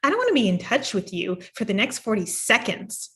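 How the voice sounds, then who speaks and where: A woman's voice: mid-range voice, big pitch swings, a little fast, fairly bright tone. A woman playing a fed-up, blunt ex-girlfriend in a screenplay table read. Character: Emily, fed-up, blunt ex-girlfriend demanding rent money.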